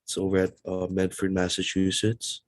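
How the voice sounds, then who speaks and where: Young male student panelist from the Philippines, even flat intonation, dry sound, casual answers. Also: low voice, moderate pace, dry recording. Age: young adult.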